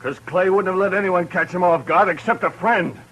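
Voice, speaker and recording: Angry vengeful frontier guy, threatening, slightly high voice, old radio drama. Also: normal speed, fairly bright tone, slight background noise, low-quality recording. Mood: hostile. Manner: hostile, accusing, threatening. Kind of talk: 1950s radio acting.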